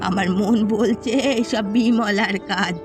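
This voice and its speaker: A woman's voice: medium pitch, steady tone, measured pace, music in the background, close to an everyday voice. A woman voicing a scheming, vengeful elderly mother who runs a village eatery in a cartoon, speaking confidently and conspiratorially. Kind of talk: cartoon story voice acting.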